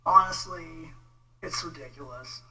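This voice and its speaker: A Texan man with a fairly high, very sharp and bright voice rants casually on a livestream. His delivery is halting, informal and exasperated. He sounds a little away from the mic, with faint noise and hum behind him. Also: far from the mic. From Texas, United States. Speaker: vlogger, religious debate commentator. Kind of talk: casual livestream rant replying to viewer comments.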